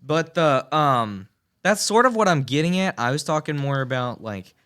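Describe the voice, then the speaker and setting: A man's voice: fairly high pitch, sing-song, normal speed, fairly bright tone. A teenage boy, a student guest on a radio talk show, talking earnestly in a rambling way with many fillers and restarts in a small studio booth. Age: teens. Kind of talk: radio interview, earnest and chatty.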